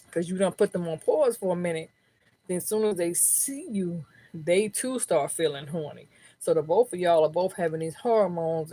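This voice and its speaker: Slangy, profane woman reading tarot. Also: darkish tone. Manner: slangy, profane, keeps asking "you know what I'm saying"; lowers her voice to keep it down. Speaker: tarot reader. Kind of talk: live tarot reading, casual chat. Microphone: own microphone, close up.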